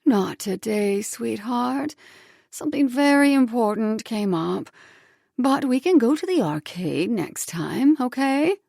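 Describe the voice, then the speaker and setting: A woman's voice: on the high side, lively intonation, slow, creaky, dark tone, dry recording, studio quality, voice pushed up, heavily acted. A woman playing an icy, condescending ex-wife. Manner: clipped, icy.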